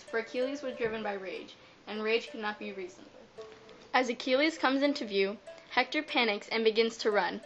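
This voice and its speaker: A woman's voice: fairly high pitch, fairly bright tone. A woman narrating a scripted story as a storyteller, on a lo-fi recording. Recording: dull lo-fi sound, music behind.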